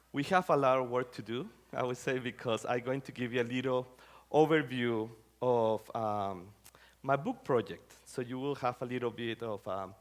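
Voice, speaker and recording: A middle-aged man from Rica, giving an academic talk in a conversational way with many fillers and phrasing. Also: mid-range voice. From Costa Rica. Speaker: scholar giving a lecture.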